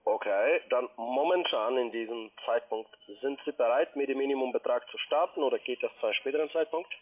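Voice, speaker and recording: A man making a polite phone sales pitch in non-native German with grammar slips, as a call center agent. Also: brisk. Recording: band-limited telephone line.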